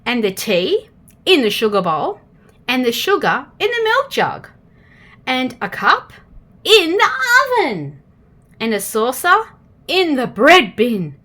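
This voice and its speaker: A woman with an Australian accent reading a children's storybook aloud in an expressive way, addressing young listeners directly. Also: sits high, takes it slowly, pauses often. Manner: expressive, asks the listener questions.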